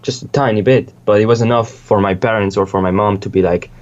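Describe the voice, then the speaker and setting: A man's voice: on the low side, steady tone, fast talker, a little mellow, dull audio. A young man from Switzerland, a music producer, chatting casually in an interview, rambling and swearing freely with lots of restarts.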